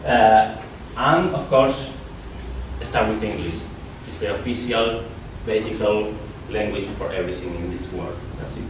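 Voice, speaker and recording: A man with a Spanish accent gives a loose, rambling conference talk in a rather low voice, doubling back on his words as he goes. He sounds far from the mic, in a big echoing hall with a steady hiss of noise behind him. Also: measured pace. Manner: casual, repeats and restarts words, rambling asides. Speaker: conference speaker. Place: lecture hall. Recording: echoey hall from far off, noisy.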